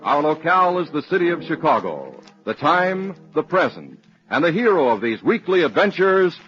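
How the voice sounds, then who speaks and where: A man's voice: fairly high pitch, sing-song, normal speed. An American man announcing a radio mystery in declamatory, dramatic old-time broadcast style. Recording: dull old radio recording. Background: music.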